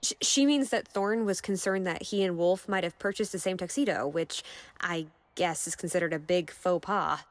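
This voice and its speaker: Woman as practical sarcastic young gal in audio drama, lowish voice, matter of fact, close dry sound. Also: normal speed, no echo, close to an everyday voice. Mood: sardonic. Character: sardonic, practical young woman, bride's friend.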